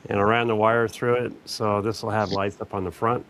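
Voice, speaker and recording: A man's voice: lowish voice, little pitch movement, brisk, fairly bright tone, low-quality recording. A man chatting casually on a podcast, laid-back and laughing easily as he shows off a model he built. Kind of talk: casual chat.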